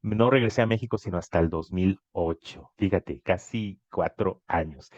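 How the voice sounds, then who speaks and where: Low-voiced Mexican man hosting. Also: moderate pace, a little mellow, dry recording. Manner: chatty, leading questions. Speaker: host. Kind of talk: casual interview chat. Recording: dull dry lo-fi sound.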